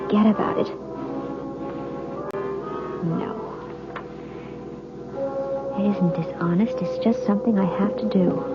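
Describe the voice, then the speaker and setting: An actress playing a frightened, distrustful married woman in an old-time radio mystery, speaking haltingly and anxiously. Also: mid-range voice, close to an everyday voice. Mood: anxious, hushed. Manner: halting, anxious, breathy murmurs to herself. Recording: dull old radio recording with music.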